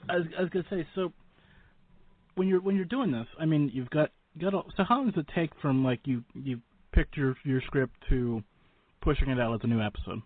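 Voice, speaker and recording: A man's voice: a little high, a little fast, narrow, tinny audio. A man hosting a radio talk show, interviewing in an informal, rambling, joking way. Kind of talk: casual chatty interviewing.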